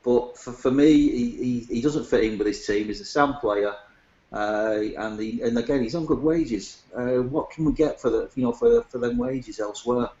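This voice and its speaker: Male fan pundit, medium pitch, opinionated and conversational, recorded in an echoey room. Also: normal speed, a little mellow. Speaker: football fan guest. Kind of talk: casual chat. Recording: roomy, dull lo-fi voice chat.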